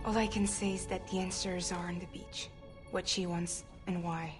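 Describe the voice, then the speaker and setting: A woman's voice: lowish voice, level tone, normal speed, over music, lightly acted. An actress playing a serious woman who firmly explains a grim mystery in a game cutscene. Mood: insistent. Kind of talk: video game cutscene acting.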